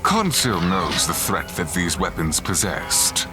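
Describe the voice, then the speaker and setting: A man with a very deep voice narrates a science fiction audio drama. His scripted delivery is theatrical and animated, building suspense with pauses over clearly audible music.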